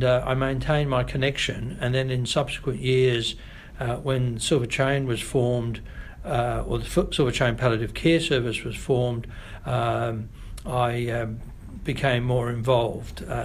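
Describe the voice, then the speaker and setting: A middle-aged Australian man, a family doctor, answering interview questions in a measured, thoughtful way with frequent pauses. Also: mid-range voice, flat delivery, slight background noise. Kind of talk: podcast interview.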